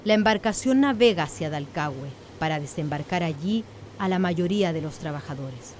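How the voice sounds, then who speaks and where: A woman's voice: sits low, animated, moderate pace, a little mellow, a little hiss or hum. A woman narrating a scripted radio drama in a solemn storytelling manner. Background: faint music.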